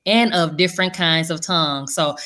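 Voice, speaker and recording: A woman's voice: low-pitched, moderate pace, pushed and bright. A woman in her thirties hosting an interview show over a video call from home, chatty and upbeat, laughing often. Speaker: show host. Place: home room indoors. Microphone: video call webcam.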